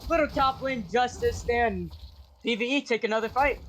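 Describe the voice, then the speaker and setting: Excitable esports caster guy, real high voice, getting hoarse, noisy stream audio. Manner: excitable, rapid-fire calls. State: voice going hoarse. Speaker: play-by-play caster. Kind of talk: live esports play-by-play commentary.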